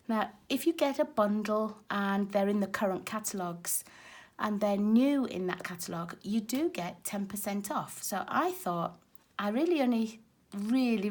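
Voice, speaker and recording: A woman with a raspy, hoarse voice from a cold, chatting casually as she demonstrates card making at her craft table. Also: medium pitch, slight background noise. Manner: chatty and explanatory, talking while working with her hands. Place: craft table at home, filmed from overhead.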